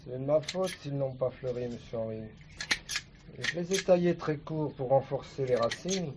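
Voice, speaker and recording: Actor as humble, pleading gardener, faint noise. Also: male voice, mid-range voice, moderate pace, lightly acted. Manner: deferential. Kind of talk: French stage play dialogue.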